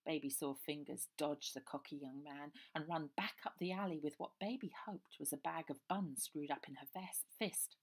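An older woman reading her own children's novel aloud in an expressive, lively way, recorded on a webcam in a small room. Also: low-pitched, moderate pace, hardly any pauses.

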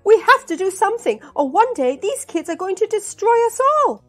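A woman's voice: very high voice, sing-song, moderate pace, a bit bright, soft background music, pitched above the performer's own voice, exaggerated voice. A woman voicing a loyal, alarmed ant captain in a storybook, earnest and emphatic.

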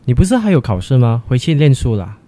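A man's voice: mid-range voice, big pitch swings, fast, mellow, close to an everyday voice. A man playing a stressed, impatient young student who is curt with his girlfriend in a radio drama. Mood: weary, dismissive.

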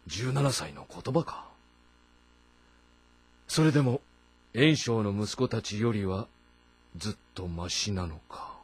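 An actor playing a shrewd, commanding middle-aged warlord of ancient China in a game drama, speaking in a measured, brooding way. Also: male voice, medium pitch, breathy edge, no echo. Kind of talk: Japanese historical drama game acting. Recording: music behind the voice. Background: background music.

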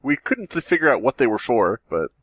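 A man with a lively, swooping delivery, recorded close and dry. He reads a horror story aloud as a podcast host and keeps slipping into playful, mocking banter. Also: mid-range voice, moderate pace, husky, no room sound.

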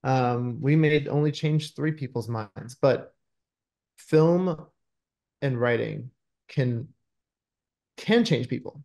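A man's voice: mid-range voice, takes it slowly, gravelly, long pauses, a little mellow. A man in his thirties giving earnest, conversational explanations as a screenwriter in a video-call interview. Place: indoor room at home, video call. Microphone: video call microphone.

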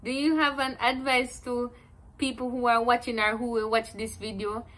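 A woman's voice: fairly high pitch, level tone, normal speed, a bit bright. A young woman, casually interviewing someone. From Jamaica. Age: young adult.

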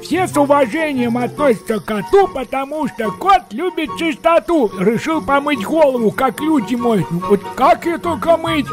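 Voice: sits high, expressive, moderate pace, hardly any pauses, over music. A performer voicing a cocky, whiny talking cat in a colloquial, complaining comic pet dub. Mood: deadpan musing.

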